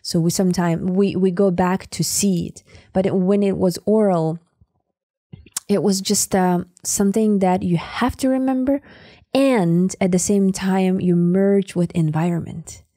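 A woman's voice: medium pitch, steady tone, a little slow, warm tone, no room sound, studio quality. A woman from the Sakha Republic, a native Sakha speaker with a Sakha accent, talking as a podcast guest and often searching for words with fillers. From Sakha Republic, Siberia. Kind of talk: conversational interview.

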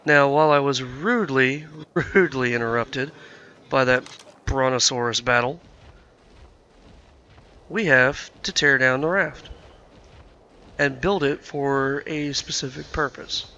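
A man's voice: mid-range voice, a little slow, pauses often, bright, forceful tone, dull audio. A man commentating his own gameplay, thinking aloud with lots of fillers, his voice nasal and scratchy from being sick. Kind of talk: casual let's-play commentary.

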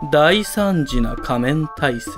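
A man plays a theatrical high school club president in an audio drama. He is pompous and eccentric, swinging through his pitch and pausing for effect, with music running underneath. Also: animated. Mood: ominous, grandiose. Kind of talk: amateur voice drama acting.

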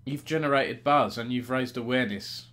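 A man's voice: mid-range voice, normal speed, fairly bright tone. A middle-aged man interviewing someone in a casual, conversational way over a video call. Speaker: interviewer, gaming news editor. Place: small home room, indoors, on a video call.

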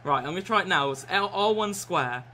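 Croaky-voiced young streamer. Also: male voice, high-pitched, lively intonation, a little fast, slight background noise. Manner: swears often, shouts and laughs at the game. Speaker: gaming streamer. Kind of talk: live gameplay chat. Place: small room indoors.

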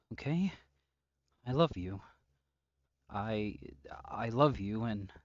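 Guy doing a creepy obsessed yandere boyfriend roleplay, slow broody pleading then threatening, kinda muffled dry audio. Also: medium pitch, no echo. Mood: pleading, strained. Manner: halting, brooding, swinging between pleading and threat. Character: obsessive, unstable male yandere captor, menacingly tender lover. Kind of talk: intimate improvised audio roleplay monologue.

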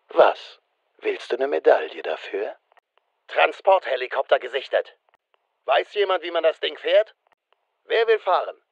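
An actor playing a masked slasher killer in a video game, raspy, giving terse callouts with mocking menace. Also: male voice, on the high side, no room sound, highs cut off, exaggerated voice. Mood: sardonic, threatening. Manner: taunting, sardonic, terse.

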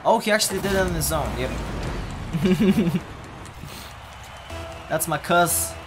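Young man, headset, casual stream talk, blunt, game music loud behind. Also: slow pace. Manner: blunt, talks back to chat, low mumbled asides. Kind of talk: casual live-stream chat while playing. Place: home room at a desk. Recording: game music playing loudly underneath.

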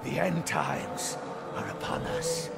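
A man's voice: fairly high pitch, huge pitch swings, slow pace, gravelly, exaggerated voice. An actor playing a grandiose male cult preacher who leads a fire-worshipping flock, in a video game cutscene. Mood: preaching. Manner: grandiose, preaching, sweeping swings between loud and hushed. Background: loud score music.

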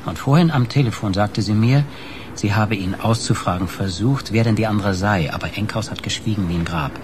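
Man playing inquisitive male reporter, teasing, lowish pitch, crime radio play, faint background noise. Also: fairly quick, natural voice. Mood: explaining. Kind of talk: naturalistic German radio crime drama.

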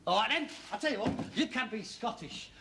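An actor playing an exasperated, cajoling working-class son with a London accent, wheedling and sarcastic in a British sitcom. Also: male voice, high voice, expressive, fairly quick, noisy background. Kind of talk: British sitcom acting before an audience.

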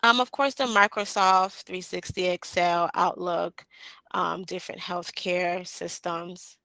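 A young woman answering job interview questions over a video call on a headset, pausing often with "um". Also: fairly low pitch, fairly even intonation, creaky, pushed and bright, no echo, low-quality recording. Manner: hesitant, many "um" fillers. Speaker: job candidate. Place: small indoor room.

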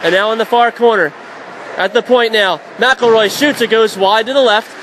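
A man calling radio play-by-play of a hockey game, climbing to shouts on shots and saves. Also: high voice, moderate pace, a little hiss or hum. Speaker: play-by-play announcer.

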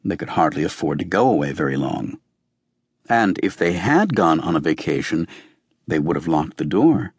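A man reading a novel as an audiobook narrator, with measured, deliberate phrasing. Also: very deep voice, very animated, breathy edge, no echo. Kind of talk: scripted literary narration.

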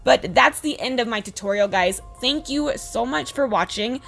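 A woman in her twenties with a slightly hoarse voice, giving a casual, chatty makeup tutorial voice-over. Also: on the high side, animated, moderate pace, fairly bright tone. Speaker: beauty vlogger, host. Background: background music.

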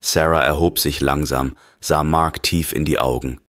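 A man narrating a scripted horror story in a grave, measured manner. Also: a bass voice, fairly even intonation, a bit bright, dry recording, studio quality. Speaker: audiobook narrator.

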